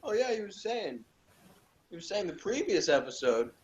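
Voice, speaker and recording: A man chatting casually on a review panel in a hesitant, halting way. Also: high-pitched, big pitch swings, a little mellow. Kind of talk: casual chat. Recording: dull lo-fi audio with hiss and clicks.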